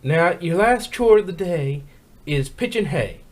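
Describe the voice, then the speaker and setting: Woman as female cartoon farm pony, blunt, brusque, country phrasing, animated intonation. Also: lowish voice, measured pace. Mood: businesslike. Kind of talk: amateur fan fiction character reading.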